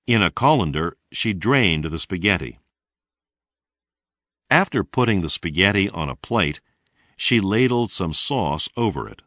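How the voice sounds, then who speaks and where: A man narrates an English lesson in a very low voice. He reads slowly and deliberately, enunciating each sentence for learners. His intonation moves up and down in a lively way. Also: no echo. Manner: deliberate, clearly enunciated teaching delivery. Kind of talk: scripted read-along narration.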